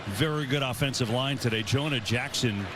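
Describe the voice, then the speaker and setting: A man's voice: mid-range voice, normal speed. A man giving professional live play-by-play commentary on a football game as a broadcast announcer. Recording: game broadcast with noisy stadium ambience.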